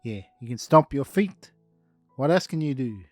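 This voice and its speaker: A man's voice: medium pitch, animated, moderate pace, darkish tone, soft background music, close to an everyday voice. A man voicing a cheerful puppet boy, with chirpy, sing-song bedtime talk.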